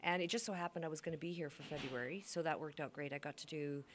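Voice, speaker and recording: A woman's voice: low-pitched, quick pace, slight background noise. A woman over sixty, a grandmother and actor, chatting as an interview guest and telling stories with acted-out quotes. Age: older. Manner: chatty storyteller, acts out quotes. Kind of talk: casual interview chat.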